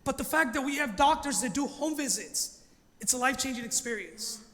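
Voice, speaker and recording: High-voiced male pastor in an echoey hall. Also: lively intonation, a little fast, sharp tone. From Los Angeles, United States. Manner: earnest, emphatic, with rhetorical questions. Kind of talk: informal public presentation. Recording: echoey hall with long reverb.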